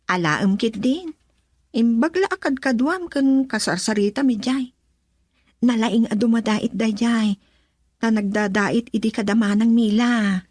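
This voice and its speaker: A woman's voice: on the high side, unhurried, darkish tone, dry recording, studio quality. A woman playing an earnest, respectful young mother and dutiful daughter in a radio drama. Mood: friendly.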